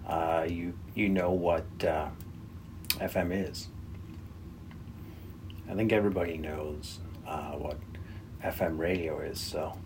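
A man with a very low voice talks very slowly and haltingly, like he is walking listeners through something unscripted. He is presenting a hobby podcast from a room at home, with loud noise all through the recording. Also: steady tone. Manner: halting, with pauses mid-sentence. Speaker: podcast host. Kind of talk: unscripted radio walkthrough commentary. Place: bedroom at home. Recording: noisy bedroom recording with radio hiss. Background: loud radio noise.

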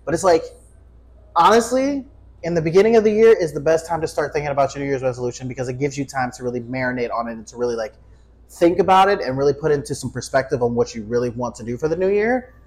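A man's voice: medium pitch, fast, poor audio. A man in his twenties chatting casually as a podcast host, joking and swearing freely. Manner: profane, joking, animated self-interruptions. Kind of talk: casual chat.

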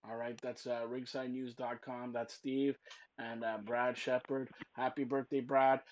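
A man hosting a wrestling podcast, talking loudly and teasing his guests, rambling with lots of fillers. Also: medium pitch, little pitch movement, a little slow, lo-fi sound. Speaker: podcast host. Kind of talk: loud joking wrestling podcast chat.

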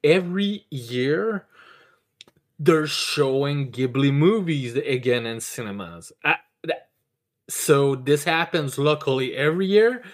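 A casual podcast host with a slow, halting delivery. Words get repeated and stammered as the host enthuses, with emphatic, excitable swearing thrown in. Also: mid-range voice. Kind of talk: casual chat, enthusiastic review.

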